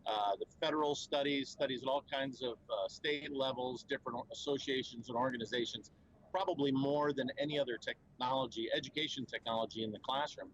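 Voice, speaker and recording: A man's voice: medium pitch, steady tone, fairly quick, fairly bright tone, lo-fi sound, a little hiss or hum. Earnest man explaining at a trade show. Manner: earnest, fluent, frequent fillers and restarts. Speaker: company spokesman at a trade show. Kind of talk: conversational explainer interview.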